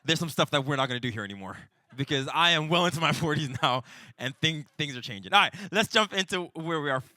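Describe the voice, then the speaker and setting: A man in his forties teaches in a bright, forward voice with a dry, close sound. He talks like a pastor in a small session, conversational and informal. He keeps checking that people follow. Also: fairly high pitch, animated, quick pace, no echo. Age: 40s. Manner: conversational, often checks in with "right?".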